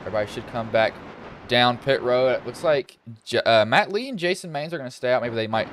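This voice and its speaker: A young man with a slightly deep voice commentating a sim truck race live, casual and rambling, getting excited when cars wreck. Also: brisk, a little hiss or hum. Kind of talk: live sim racing play-by-play.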